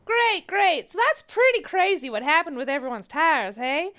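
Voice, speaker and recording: Woman doing a pushy chirpy tire shop lady, high voice, swoops around, yaps nonstop. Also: expressive, moderate pace, a bit bright. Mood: chirpy, salesy. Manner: chirpy, gabby, salesy. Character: chatty, slippery female tire shop owner, pushy saleswoman.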